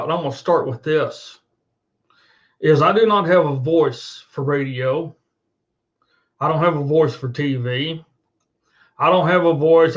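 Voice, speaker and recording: A man's voice: a little high, lo-fi sound. A middle-aged man hosting an online show, delivering an unscripted rant in a blunt, profane, emphatic and repetitive way. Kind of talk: unscripted monologue rant to camera. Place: indoors at a computer.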